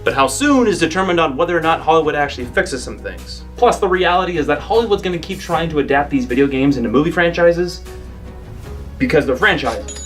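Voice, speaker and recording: A man's voice: fairly high pitch, expressive, a little fast, a bit bright. A young American man giving scripted video essay commentary as a web show host, emphatic and opinionated with punchy asides. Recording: music playing behind the voice.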